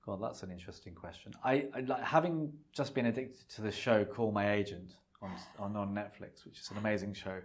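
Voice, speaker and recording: A man from with a low, deep voice, chatting as a podcast guest. He thinks aloud, circling back with fillers and restarts, and his was Punjabi. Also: brisk, few pauses. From London, England. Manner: thoughtful, rambling with many fillers. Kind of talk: casual interview chat.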